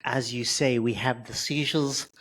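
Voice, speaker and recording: Man, interview guest, stutters, repeated sounds and blocks, slow deliberate speech, candid, humorous. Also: mid-range voice, steady tone, fairly bright tone. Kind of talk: conversational interview.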